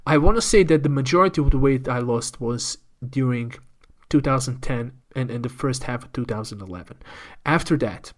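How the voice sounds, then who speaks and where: Man telling his story, halting delivery. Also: mid-range voice. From Croatia. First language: Croatian. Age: 30s. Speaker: podcast guest. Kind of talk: candid personal storytelling in an interview.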